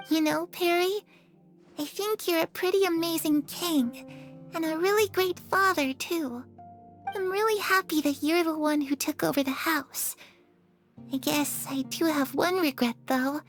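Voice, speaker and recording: A high, dreamy young girl. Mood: wistful. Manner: soft, dreamy, playful and a little teasing. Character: gentle wistful young woman, lost sister. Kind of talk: game cutscene voice acting.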